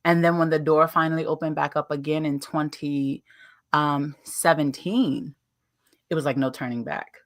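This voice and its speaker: An American woman in her late thirties, a guest telling her travel story in a casual interview, animated with playful asides and laughter. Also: low voice, moderate pace, no room sound, clean studio sound. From United States.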